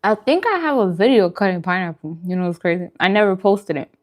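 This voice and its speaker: A woman's voice: mid-range voice, expressive, fast. A woman hosting a food channel, chatting casually and informally with viewers during a mukbang livestream.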